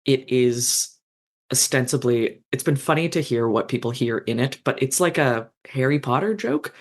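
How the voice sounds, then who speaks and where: A fanfiction author in their thirties, a guest in a casual podcast interview, speaking thoughtfully and self-deprecatingly with many fillers and pauses. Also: medium pitch, animated.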